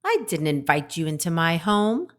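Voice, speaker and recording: A woman voicing a small, friendly female skunk who talks in a squeaky, eager, gushing way. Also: very animated, normal speed, fairly bright tone. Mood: wary.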